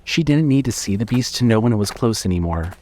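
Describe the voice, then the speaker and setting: A man's voice: on the low side, lively intonation, fast, warm tone, a little hiss or hum. A man narrating a scripted horror story as the narrator of an audio drama.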